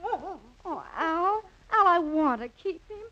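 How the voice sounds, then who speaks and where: An anxious, pleading tenement wife in a 1950s radio drama. Her high, plaintive voice swings up and down as she whines. The old recording is muffled. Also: expressive, takes it slowly, over a noisy crowd, heavily acted. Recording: dull 1950s radio recording, noisy background.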